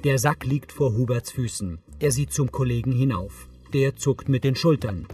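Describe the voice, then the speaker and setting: A man's voice: mid-range voice, moderate pace, far from the mic, loud music behind the voice. A man reading scripted audio description, narrating on-screen action in the present tense.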